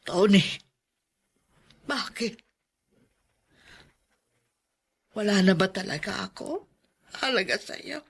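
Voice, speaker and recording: An older aunt in a family melodrama, acted by a woman, speaks slowly and plaintively. Her voice is husky, full of hurt and reproach, yet loving underneath. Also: mid-range voice, expressive, exaggerated voice. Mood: reproachful. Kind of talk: melodramatic radio-style drama acting.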